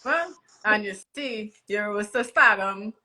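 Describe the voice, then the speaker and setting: A woman hosting a casual live interview, reacting in a lively, encouraging way. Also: medium pitch, a little slow, a crowd in the background. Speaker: interview host.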